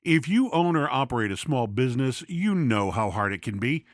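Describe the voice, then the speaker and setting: A man's voice: mid-range voice, very animated, moderate pace, no echo, clean studio sound. A man presenting a podcast in a scripted narration style.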